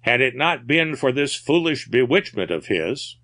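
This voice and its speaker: A man's voice: medium pitch, sing-song, unhurried, pushed and bright. A man voicing a gaunt old sea captain in a story, grave and cryptic, with archaic, folksy seafaring phrasing. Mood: solemn.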